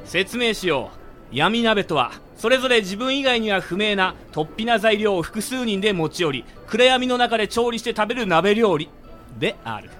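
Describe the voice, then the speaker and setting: Bright, theatrical, mock-pompous young man acted by a man. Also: high-pitched, lively intonation, moderate pace, soft background music. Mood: mock-solemn. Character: theatrical, gleeful young man grandly announcing absurd plans, Satoru. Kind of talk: amateur radio drama acting.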